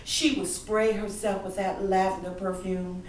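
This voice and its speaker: Woman acting a wounded grieving daughter, dramatic monologue, lots of heavy pauses, echoey room, mic kinda far off. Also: medium pitch, level tone, darkish tone, lightly acted. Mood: wistful. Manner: emotional, deliberate storytelling with dramatic pauses. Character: grieving grown daughter recalling her mother's death, wounded and yearning. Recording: echoey room, distant mic, faint noise.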